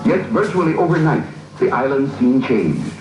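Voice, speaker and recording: A man's voice: medium pitch, very animated, normal speed, over loud music. A man narrating in a scripted 1940s wartime propaganda newsreel style, dramatic and declamatory. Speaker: film narrator. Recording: old 1940s film soundtrack, muffled, distant, hissy, echoey.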